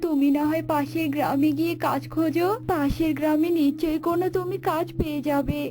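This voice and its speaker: Cartoon wife voiced by a woman: high-pitched, humble villager, caring and urging, music clearly behind. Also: steady tone, fairly quick, close to an everyday voice. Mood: encouraging. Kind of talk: cartoon story dialogue.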